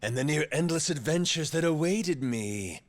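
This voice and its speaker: An actor playing a brash, cocky middle-aged gangster pirate captain with a theatrical drawl in a video game dub. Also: male voice, fairly high pitch, expressive, moderate pace, close to an everyday voice. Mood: boastful storytelling. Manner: drawling, theatrical, foul-mouthed.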